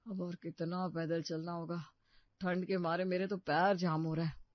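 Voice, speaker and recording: A woman's voice: fairly low pitch, little pitch movement, normal speed, no echo, close to an everyday voice. A woman voicing a tired young office worker in a cartoon, complaining as he walks. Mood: weary. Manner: complaining, weary. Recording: dull, muffled audio.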